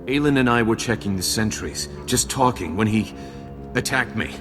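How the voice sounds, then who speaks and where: An actor playing a weary, grieving Jedi guardian in a video game, earnest, solemn and formal. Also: male voice, lowish voice, expressive, normal speed, lightly acted. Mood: weary grief. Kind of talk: video game cutscene acting. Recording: long hall reverb, faint game music behind.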